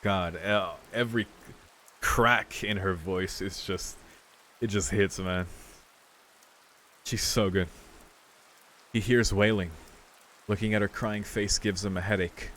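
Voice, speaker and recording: A man with a fairly low voice reads a visual novel aloud in the casual way of a streamer. Rain from the game and slight noise sit behind his voice. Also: fairly even intonation, slow, pauses often, fairly bright tone. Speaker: video game streamer reading along.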